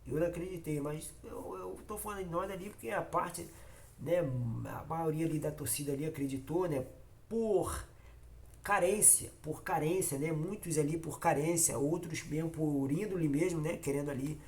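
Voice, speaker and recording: A man's voice: fairly high pitch, normal speed, a little mellow. A man with a Carioca accent who has a cold, his voice nasal, hosting a casual football fan vlog and talking in a repetitive, emphatic way straight to his viewers. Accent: Carioca Brazilian Portuguese. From Rio de Janeiro, Brazil. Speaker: football fan channel host.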